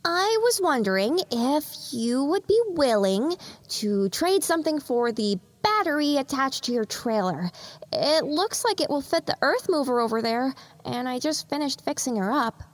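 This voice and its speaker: A woman's voice: high voice, sing-song, moderate pace, no room sound. A woman voicing a cute, naive young pony in an audio drama, with an earnest, flustered manner. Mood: sweet, hopeful. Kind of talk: audio drama character acting.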